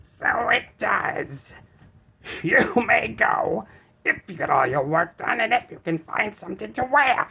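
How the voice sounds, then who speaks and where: A man voicing a cruel, haughty stepmother in a storybook, commanding and drawn-out. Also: high-pitched, lively intonation, vocal fry, bright, forceful tone, slightly rough, pitched above the performer's own voice, heavily acted. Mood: haughty, sly. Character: wicked, haughty stepmother, cruel middle-aged woman. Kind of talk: children's storybook character voice.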